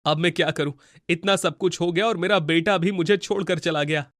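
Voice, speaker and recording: Guy voicing poor worried farmer dad in a cartoon, highish voice, earnest and pleading, dry audio. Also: steady tone, fairly quick, a bit bright, no echo, lightly acted. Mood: despairing. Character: troubled, poor farmer, gentle husband and father.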